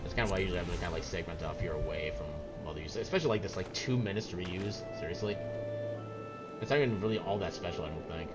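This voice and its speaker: A man's voice: sits low, quick pace. A man doing casual live gameplay commentary as a streamer, rambling and musing aloud to himself. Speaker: game streamer. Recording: game music behind the voice.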